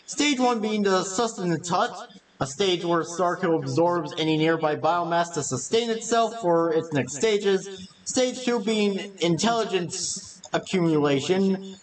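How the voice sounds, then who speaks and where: A man's voice: high voice, unhurried, far from the mic, rough recording. A man reading scripted narration for a video essay.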